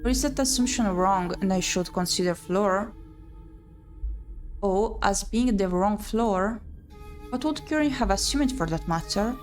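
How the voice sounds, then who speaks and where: A woman's voice: medium pitch, lively intonation, a little slow, soft background music. A woman reading a first-person story aloud in a dramatic narrating style for a game stream.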